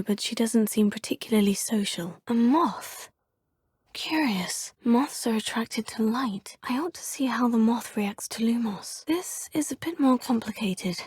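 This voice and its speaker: A woman's voice: fairly high pitch, a little mellow, husky, no room sound, clean studio sound. A woman voicing a young, polite student witch who thinks aloud in a formal way. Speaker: player character. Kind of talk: video game voice lines.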